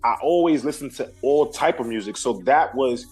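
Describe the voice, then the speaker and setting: A man from Brooklyn, a guest artist telling stories in a relaxed, slangy way, often restarting his sentences. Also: mid-range voice, normal speed, husky, rough recording. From Brooklyn, New York. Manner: laid-back storytelling with slang and restarts. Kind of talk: casual interview talk.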